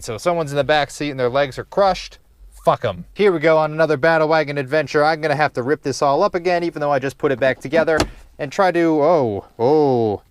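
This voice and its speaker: A man's voice: mid-range voice, clean tone, no room sound. A man giving a casual, unscripted DIY how-to narration in a rambling, wry, casually profane way inside a car. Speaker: DIY car project host.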